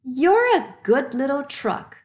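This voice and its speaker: A friendly lady school bus from a picture book, voiced by a woman, proud and kindly boastful. Her delivery is unhurried, with a lively melody. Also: high-pitched, pitched above the performer's own voice, heavily acted. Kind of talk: children's storybook character voice.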